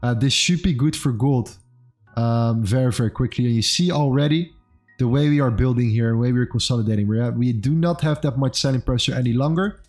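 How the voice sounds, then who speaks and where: A man's voice: mid-range voice, fairly quick, a little mellow. Upbeat twenties male streamer, casual trading talk, faint music under him. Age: 20s. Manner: upbeat, says "amazing" and "bro" often. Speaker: livestream host and trader. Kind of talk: casual livestream chat and market commentary.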